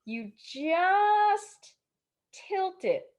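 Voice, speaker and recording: A woman's voice: very high-pitched, big pitch swings, drawn-out words, lo-fi sound. A woman speaking as an interviewed author in a casual spiritual conversation, with an emphatic, declarative way of talking.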